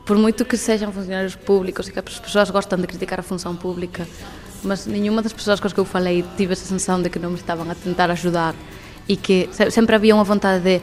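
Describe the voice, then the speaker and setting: A woman in her twenties from Galicia with a Galician accent, speaking as a guest on a radio programme, lively and laughing often. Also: medium pitch, fast, soft background music. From Galicia, Spain. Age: 20s. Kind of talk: radio testimony.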